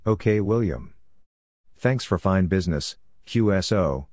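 A man doing a scripted readout of call signs and short radio phrases as a practice narrator, in a deliberate, clipped manner. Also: low voice, expressive, darkish tone, no room sound, studio quality. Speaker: Morse code practice narrator.

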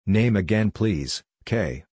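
A man narrating code practice, reading short scripted phrases in a clipped, deliberate way and spelling out letters and numbers. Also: lowish voice, expressive, darkish tone, dry recording, studio quality.

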